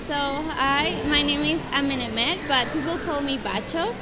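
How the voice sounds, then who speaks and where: A teenage girl talking excitedly to the camera in a hotel atrium. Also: high-pitched, moderate pace, very noisy. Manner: excited, chatty. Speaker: youth club vice president. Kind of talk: casual testimonial to camera.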